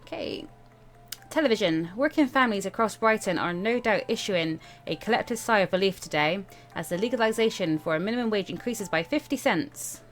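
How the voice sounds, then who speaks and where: A woman's voice: medium pitch, lively intonation, fairly quick. A woman hosting a casual let's-play, reading game text aloud with informal asides and stumbles over the written words. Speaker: gaming video host. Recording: music behind the voice.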